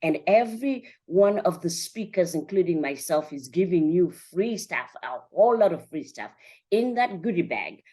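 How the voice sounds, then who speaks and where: This is a woman with a somewhat low-pitched voice. She hosts a podcast interview in an informal, conversational style and speaks directly to both guest and audience. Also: big pitch swings, moderate pace, dark tone. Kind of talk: conversational interviewing and event promotion.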